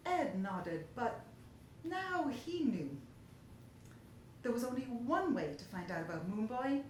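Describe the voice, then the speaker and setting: A woman narrates a children's storybook with plenty of expression. Her voice is fairly high and slow, and it echoes heavily in the room over faint noise. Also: very animated, darkish tone. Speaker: story reader.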